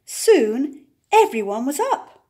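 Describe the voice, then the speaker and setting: An older woman reading a storybook aloud to young children, deliberate and expressive, with dramatic emphasis. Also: sits high.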